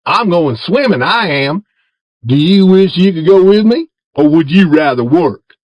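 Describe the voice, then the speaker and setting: A man's voice: high voice, lively intonation, a little slow, no echo, muffled recording, pitched above the performer's own voice. A man voicing an eager young boy who teases and then begs his friend in a storybook reading. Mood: teasing.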